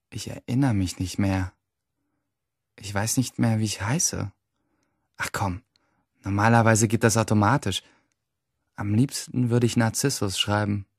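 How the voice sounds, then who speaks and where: An actor playing a brooding, detached teenage schoolboy in terse, introspective first-person radio drama narration. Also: male voice, fairly low pitch, no room sound, studio quality. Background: music under the voice.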